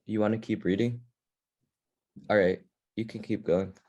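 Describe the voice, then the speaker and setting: A man's voice: lowish voice, fairly even intonation, a little slow, mellow, muffled recording. A man speaking casually as he checks in with a child who is reading. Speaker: adult listening to a child read. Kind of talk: casual prompting.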